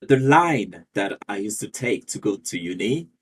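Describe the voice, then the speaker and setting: Husky low-voiced man. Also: sing-song, unhurried. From Haiti, grew up partly in France. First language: Haitian Creole. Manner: soft-spoken, careful, occasional non-native phrasing. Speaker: guest, language teacher. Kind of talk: podcast interview conversation.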